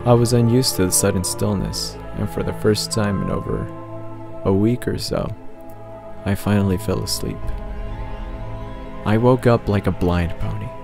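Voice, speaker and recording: A man's voice: lowish voice, steady tone, moderate pace, gravelly, pauses often. A man voicing a tormented, blinded unicorn pony who recounts his past in an intense, dramatic first-person story reading. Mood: somber, haunted. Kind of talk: dramatic reading of fan fiction. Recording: loud music under the voice. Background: prominent background music.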